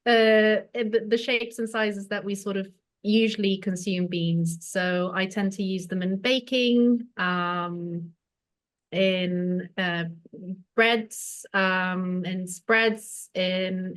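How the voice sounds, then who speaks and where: A woman chef and cookbook author from Tanzania, talking hesitantly with many fillers on a webinar video call. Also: medium pitch. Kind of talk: webinar talk.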